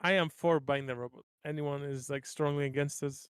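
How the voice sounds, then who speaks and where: A man's voice: a little high, steady tone, normal speed, smooth, no room sound, studio quality. A man chatting informally as a player during a tabletop roleplaying session. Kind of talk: casual table talk.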